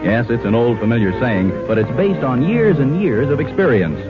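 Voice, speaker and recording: A man's voice: mid-range voice, animated, moderate pace. A man narrating a scripted 1940s radio comedy in a mock-solemn storytelling manner. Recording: tinny old radio recording with music. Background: music.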